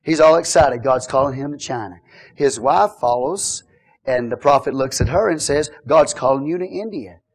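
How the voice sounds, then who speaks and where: A middle-aged man preaching a sermon as pastor, telling jokes and swinging from quiet asides to loud exhortation. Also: mid-range voice, normal speed, slightly rough.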